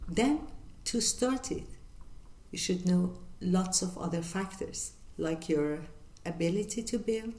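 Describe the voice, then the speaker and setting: An older woman from northern Iran with a Persian accent, hosting her channel and answering viewer questions in an earnest, measured way with many pauses. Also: lowish voice, dark tone, roomy sound. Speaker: channel host. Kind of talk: reflective Q&A monologue.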